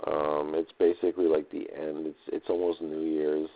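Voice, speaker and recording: Male diarist, monotone and full of vocal fry, drifting through halting, casual unscripted thoughts on a muffled lo-fi recorder. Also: a bass voice. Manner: hesitant, repetitive, filler-heavy, loosely drifting. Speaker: audio diarist. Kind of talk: rambling unscripted audio diary.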